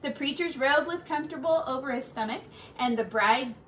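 A middle-aged woman reading a picture book aloud to children like a teacher, with deliberate, drawn-out words and little asides. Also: sits high, a bit bright, slight background noise. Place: small furnished room at home.

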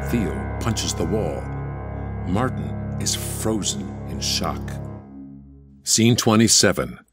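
A man with a low voice narrates a theatrical audio drama. He reads scripted stage directions, with prominent instrumental music playing underneath. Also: lively intonation, slow pace. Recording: loud music bed under the voice. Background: loud instrumental music.

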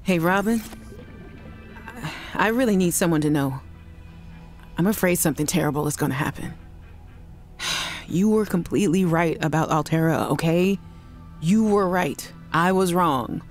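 An actress playing a worried woman scientist who leaves halting, apologetic voice messages for her sister in a video game. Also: on the low side, faint music behind, natural voice. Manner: anxious, apologetic, halting restarts. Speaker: game character.

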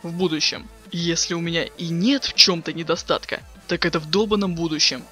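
A sardonic, slangy teenage boy voiced by a man from a webcomic dub. He rattles lines off quickly in a highish, sharp voice. He is impatient and too cool to care. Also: steady tone, soft background music. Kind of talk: dramatic reading of a webcomic chat.

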